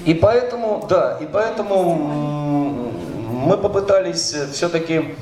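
A man's voice: on the high side, very animated, takes it slowly, a crowd in the background. A middle-aged man giving an informal seminar talk on a stage with a handheld mic, loose and rambling, full of fillers and restarts. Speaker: seminar speaker, dubbing director. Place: stage of a large hall. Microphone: handheld mic, filmed from the audience. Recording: echoey hall, recorded from far off.

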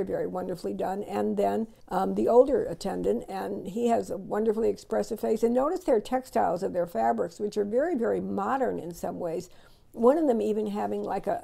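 A middle-aged woman hosting an auction and giving unhurried, admiring voice-over commentary, with frequent ums and restarts. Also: mid-range voice, gravelly, mellow. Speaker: auction host presenting antique dolls.

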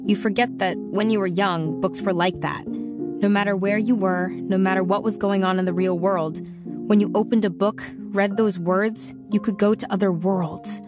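Woman as teenage schoolgirl narrator, bookish and wry, medium pitch, music underneath. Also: steady tone, normal speed, natural voice. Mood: wry, reflective. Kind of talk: scripted first-person audio drama narration.

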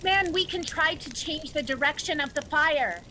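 A woman's voice: high-pitched, lively intonation, normal speed, background noise. A young woman playing an emotional fable woman in a dramatic play reading. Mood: anguished. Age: young adult. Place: home room, video call.

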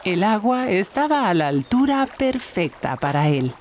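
A woman's voice: medium pitch, big pitch swings, unhurried, slight background noise. A woman narrating a scripted story for young children.

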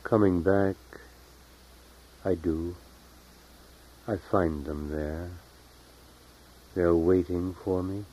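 Very slow, deep-voiced man reading poetry. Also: fairly even intonation, mellow, slight background noise. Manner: measured, deliberate phrasing with long pauses. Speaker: poet reading own work. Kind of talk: poetry reading, solemn and unhurried. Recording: dull lo-fi 1970s tape recording.

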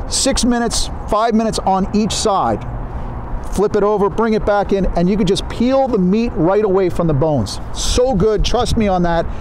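A man's voice: high voice, darkish tone, slight background noise. A man in his fifties from New Jersey gives a casual fishing report outdoors by a stream, chatty and folksy, with many fillers and restarts. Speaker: fishing magazine editor giving a fishing report. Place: outdoors by a stream on a sunny winter day.

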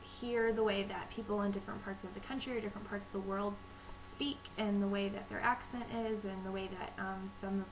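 Young North Texas woman, chatty vlogger, creaky voice, low-volume webcam mic with audible hiss. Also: fairly even intonation, brisk, few pauses. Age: young adult. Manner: chatty, frequent ums. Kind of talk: casual talk to camera, reading a word list. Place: small room at home, indoors. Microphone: webcam microphone, low volume.

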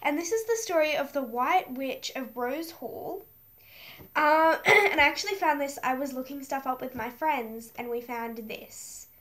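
A woman's voice: high voice, a little slow, a bit bright. Chatty young woman with a stuffy nose. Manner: chatty and rambling, many fillers and restarts. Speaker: vlogger. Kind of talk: casual talk to camera, reading from a phone. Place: small bedroom indoors. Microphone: webcam in front of her.

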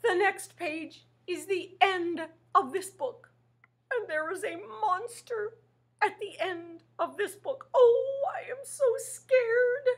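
A woman's voice: high voice, sing-song, measured pace, a bit bright, heavily acted. A woman voicing a fearful little male monster in a storybook read-aloud, pleading, panicky and wailing. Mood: terrified.

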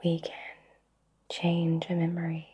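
A woman whispering breathily in a soothing, reassuring way with long pauses, guiding an ASMR Reiki session. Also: fairly low pitch, monotone, mellow, husky. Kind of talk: whispered ASMR personal attention.

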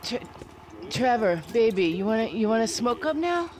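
A woman's voice: a little high, big pitch swings, moderate pace. An actress playing a brash, strung-out biker girlfriend in a game, wheedling. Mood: coaxing. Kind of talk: video game cutscene acting.

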